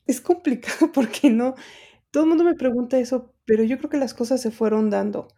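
A woman's voice: fairly high pitch, brisk, dark tone. A middle-aged Mexican woman, an interpreter, answering interview questions in a rambling way with many fillers and restarts. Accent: Mexican Spanish. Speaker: interpreter and translator guest.

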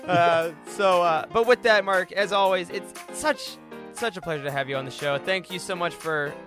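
A man's voice: high-pitched, normal speed, over music. A man hosting a podcast in a casual, upbeat, enthusiastic interview style.

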